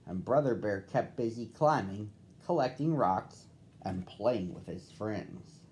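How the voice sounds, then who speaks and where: A man reading a picture book aloud to children as a storyteller, with expressive phrasing and drawn-out words. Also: on the low side. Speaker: storybook reader.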